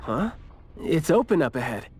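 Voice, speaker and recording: A man playing an eager, chatty young companion in a video game, with an animated manner. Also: fairly high pitch, normal speed, slight background noise.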